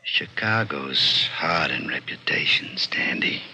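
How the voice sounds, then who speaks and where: A man's voice: a bass voice, animated, slow, bright, forceful tone, whispered, exaggerated voice. An actor playing a coolly mocking, streetwise gangster boss in 1940s radio drama style, with taunting, slangy tough-guy talk. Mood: mock regret. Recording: noisy old-time radio recording.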